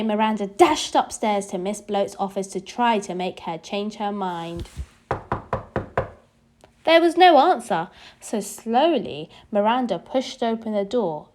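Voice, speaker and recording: Animated, slow-paced woman reading a storybook. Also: mid-range voice. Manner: deliberate, animated storytelling for young children. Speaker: children's story reader. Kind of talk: expressive scripted storybook read-aloud.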